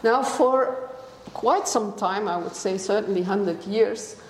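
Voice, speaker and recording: A woman, a native Dutch speaker with a Dutch accent, gives a scientific lecture to a broad audience in a hesitant, explanatory way with frequent pauses. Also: medium pitch. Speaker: academic guest lecturer. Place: large indoor lecture room. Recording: echoey lecture hall with long reverb, background noise.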